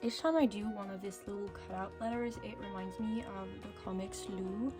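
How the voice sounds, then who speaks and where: A woman's voice: medium pitch, moderate pace, music in the background. A young woman whose first language is French, chatting casually and cheerfully with lots of fillers as an art vlogger. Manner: bubbly, chatty, with many fillers and restarts. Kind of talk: casual chat over crafting.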